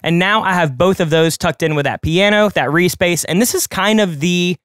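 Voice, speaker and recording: A man of twenty-eight, a music producer hosting a tutorial, talking quickly and conversationally as he explains each production step from his home studio. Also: sits high, a bit bright, smooth, dry recording. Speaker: music producer and channel host. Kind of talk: screen-recorded production tutorial. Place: home studio with colored lights.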